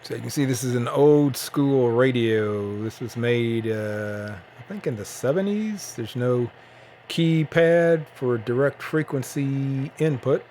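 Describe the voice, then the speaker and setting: A man with a mid-pitched voice talks casually through a hobby radio demonstration. He thinks aloud and feels his way haltingly from point to point. There is some faint noise behind him. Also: a little mellow. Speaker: shortwave radio hobbyist presenting a bandscan. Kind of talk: casual unscripted demonstration commentary.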